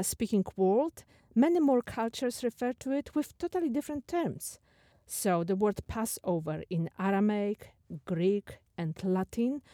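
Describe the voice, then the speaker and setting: A woman with a Polish accent giving a thoughtful, questioning talk on faith as a podcast host, sometimes halting over her words. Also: mid-range voice, dark tone, no echo, studio quality.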